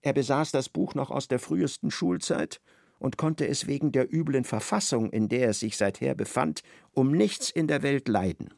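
A man narrating a literary radio play in a measured, vivid storytelling manner. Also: mid-range voice, animated, vocal fry, darkish tone, no echo, clean studio sound.

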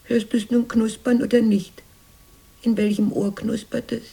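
A wistful, anxious sister from a fairy tale, grown up and looking back. Her voice is very husky and mellow, often sinking to a breathy whisper, dreamy yet insistent in radio-drama style. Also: on the high side, level tone, measured pace, full character voice, rough recording. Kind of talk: German radio drama acting.

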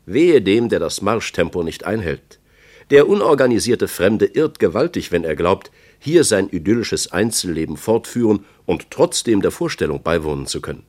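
An actor voicing a stern eyewitness, reading out a report emphatically in a radio play. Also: male voice, sits low, very animated, moderate pace, darkish tone. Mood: stern, emphatic. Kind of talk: dramatic reading of a quoted report.

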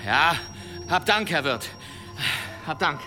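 An actor playing a boastful monster hunter telling tales in an archaic, theatrical manner in an audio drama. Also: male voice, fairly high pitch, lively intonation, music in the background. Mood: boastful, storytelling. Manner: theatrical, dramatic pauses, savouring his tale. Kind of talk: German audio drama storytelling, archaic wording.

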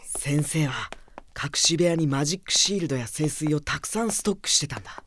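A male actor playing a gentle, cautious young mage in an audio drama, soft-spoken, earnest and considerate. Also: fairly high pitch, animated, fairly quick, a little hiss or hum. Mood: hushed, earnest. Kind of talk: Japanese anime audio drama acting.

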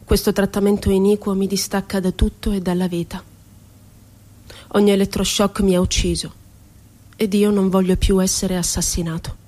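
A woman's voice: medium pitch, level tone, warm tone, natural voice. Woman as grave, despairing tormented male poet. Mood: hollow despair. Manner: slow, grave, declaimed.

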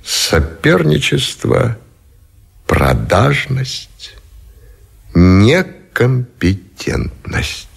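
An actor playing a weary, brooding admiral and supreme commander in a radio drama, speaking deliberately with heavy pauses. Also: male voice, mid-range voice, wildly swooping pitch, gravelly, slightly rough, full character voice. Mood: bitter, anguished.

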